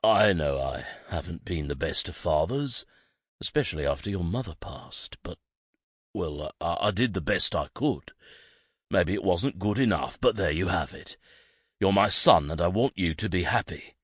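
A man's voice: deep voice, lively intonation, normal speed, husky, dry recording, studio quality. A man voicing a scheming older nobleman who plays up his self-pity in a wheedling way. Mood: sheepish. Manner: wheedling, melodramatic.

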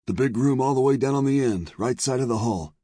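A man voicing a cranky, frightened older saloon owner in a western audiobook, with a raspy, strained, folksy drawl. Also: brisk, mellow, dry recording, clean studio sound, lightly acted. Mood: darkly anxious. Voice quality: raspy, high-pitched strained voice. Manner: folksy Old West drawl, pleading. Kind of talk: western audiobook character dialogue.

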